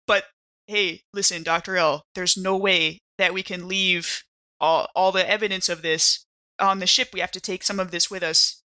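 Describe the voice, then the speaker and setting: A woman's voice: lowish voice, steady tone, moderate pace, pushed and bright, no echo. A woman playing a tabletop role-playing game, chatty and casual, improvising her moves and thinking aloud.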